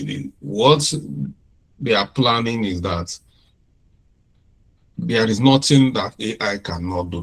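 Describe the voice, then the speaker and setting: A man with a Nigerian accent giving an informal classroom lecture, unhurried, often repeating and restarting his phrases. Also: sits low, breathy edge, low-quality recording.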